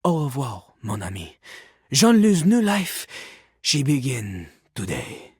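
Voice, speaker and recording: A husky French-accented male charmer from a video game, vain and theatrical. The delivery is slow and grandiose with big melodic swings. The recording is close and dry. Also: extremely low pitch, big pitch swings, darkish tone, no room sound, heavily acted. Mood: dramatic storytelling. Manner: grandiose, flirtatious, speaks of self in third person. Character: vain, dramatic French toilet, a male aspiring rapper.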